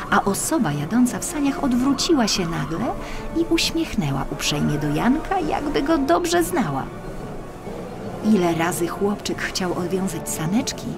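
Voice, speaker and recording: A woman reading a scripted children's story as an audiobook narrator, with expressive, deliberate phrasing. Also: medium pitch. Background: music.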